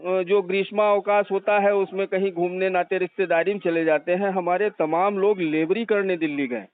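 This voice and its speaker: A man's voice: sits high, fairly even intonation, moderate pace, smooth, no room sound. A middle-aged man speaking respectfully and earnestly, pleading his case as a caller in an informal phone conversation. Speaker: contract school teacher, caller. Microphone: telephone. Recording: muffled recorded phone call.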